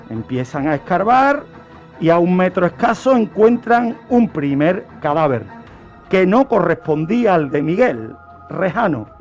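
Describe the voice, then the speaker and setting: Man, Spain accent, high voice, radio true-crime storyteller, emphatic deliberate phrasing, light music underneath. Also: a little mellow. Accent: Spanish from Spain. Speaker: radio presenter.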